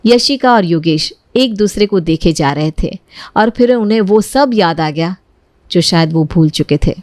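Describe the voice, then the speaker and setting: A woman's voice: on the low side, expressive, a little slow, a little mellow, dry recording. A woman narrating a family drama story in a scripted, dramatic storytelling style.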